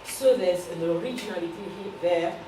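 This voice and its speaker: Woman, slightly low voice, halting earnest announcement, distant mic, noisy background. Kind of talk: announcement and remarks to a gathering. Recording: echoey, noisy room from afar.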